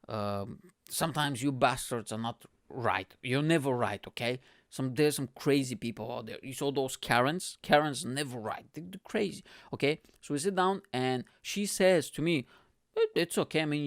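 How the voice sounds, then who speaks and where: Animated man telling a story, dry sound. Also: mid-range voice, vocal fry, dry recording. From Bulgaria. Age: 30s. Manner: animated, swearing, laughing, acts out quotes. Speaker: podcast host. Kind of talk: casual storytelling monologue. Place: small home room with bookshelves. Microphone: studio mic on stand close to mouth.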